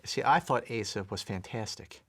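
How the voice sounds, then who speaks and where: A man's voice: on the low side, normal speed, a bit bright. A man giving an emphatic, opinionated take in a panel movie review discussion.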